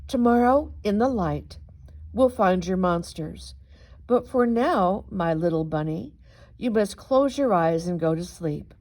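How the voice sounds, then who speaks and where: A woman voicing a gentle, caring father rabbit in a storybook, speaking tenderly and soothingly. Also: mid-range voice, animated, measured pace.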